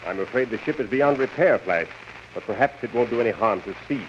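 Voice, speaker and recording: An actor playing a grave, steadfast prince and ally in a 1930s radio serial. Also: male voice, on the low side, brisk, close to an everyday voice. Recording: muffled old radio recording, faint hiss.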